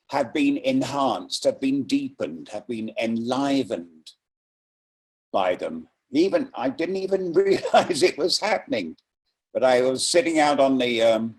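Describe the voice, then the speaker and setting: A man with a mid-pitched voice speaks very slowly and reflectively. He halts often to find his words, in the informal way of someone presenting over a video call. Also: darkish tone. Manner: slow, reflective, halting with pauses. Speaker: poetry reader introducing an event.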